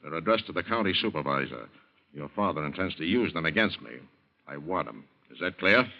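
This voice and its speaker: A menacing American blackmailer from old-time radio. His delivery swings widely in pitch as he lays down domineering orders. The recording is dull and aged. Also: lively intonation, creaky. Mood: menacing, commanding. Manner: domineering, deliberate threats. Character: coldly menacing male blackmailer giving orders.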